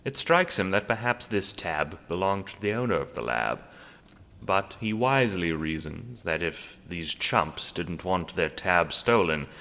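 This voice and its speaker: Deep, gravelly man narrating with long reverb. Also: bright, forceful tone. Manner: theatrical, deliberate storytelling. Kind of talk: scripted audio drama narration.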